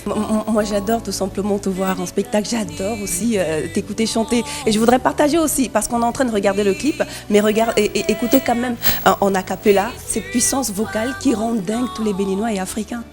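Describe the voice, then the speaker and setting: A woman's voice: medium pitch, fast, hardly any pauses. A woman hosting a music TV show, asking questions with admiring enthusiasm and stammered restarts. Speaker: TV show host. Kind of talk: music TV interview and presenting. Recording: music playing under the voice.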